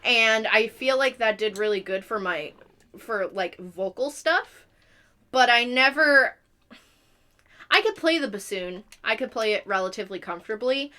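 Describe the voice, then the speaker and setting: A woman's voice: on the high side, animated, unhurried, pushed and bright. A young adult woman chatting casually as a live streamer, explaining things in a rambling way. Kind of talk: casual chat.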